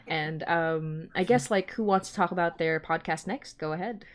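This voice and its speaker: Lowish-voiced enthusiastic woman hosting. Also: normal speed. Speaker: host. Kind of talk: casual panel chat.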